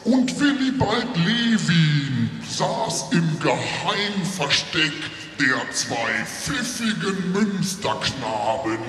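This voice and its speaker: A man's voice: a little high, creaky, voice pushed down, full character voice. A man voicing a declamatory 1990s techno announcer who narrates a comic radio play on a live stage. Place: tent stage before festival audience. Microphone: handheld microphone. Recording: echoey live stage with music.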